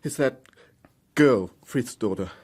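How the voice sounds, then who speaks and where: An actor playing a shaken young man in a radio drama, speaking in halting, urgent, pleading bursts. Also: mid-range voice, lively intonation, darkish tone, husky. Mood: agitated, confessional. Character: agitated, shaken young man confessing to trouble.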